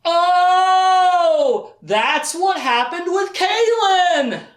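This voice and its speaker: A storyteller playing an excitable, naive young man who exclaims and gushes earnestly, in an echoey room. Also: very high-pitched, very slow, pitched above the performer's own voice, full character voice. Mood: elated, lovestruck. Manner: gushing, exclaiming.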